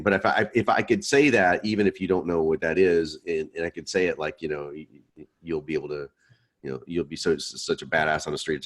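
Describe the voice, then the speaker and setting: Deep male teacher, loose storytelling delivery, laughs easily. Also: breathy edge. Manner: chatty, self-deprecating, laughs often. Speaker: instructor. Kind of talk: online class Q&A.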